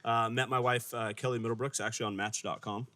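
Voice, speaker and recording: A man in his thirties, a church staff member, giving relaxed, easygoing interview answers. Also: medium pitch, fast, no room sound. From Las Vegas, Nevada.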